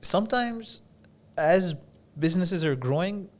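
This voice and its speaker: A man from Dubai speaking as an interview guest, telling stories in a measured, conversational way with pauses and repeated phrases for emphasis. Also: high-pitched, lively intonation. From Dubai, UAE. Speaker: podcast guest, business coach.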